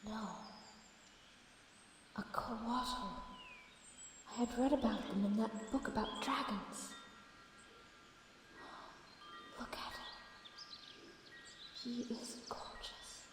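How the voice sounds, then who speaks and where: A woman voicing a curious, protective young female dragon in a soft-spoken, earnest ASMR roleplay. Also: fairly high pitch, steady tone, slow pace, pauses often. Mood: awed, hushed. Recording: very echoey, long reverb, faint background noise.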